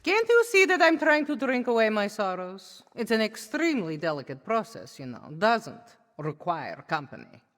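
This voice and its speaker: A woman's voice: medium pitch, very animated, normal speed, few pauses, a bit bright, long reverb tail. A woman playing a jaded, haughty, corruptible official in a video game, with a sardonic, dismissive manner. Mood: irritated, mocking. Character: jaded, haughty, bribable female official drinking.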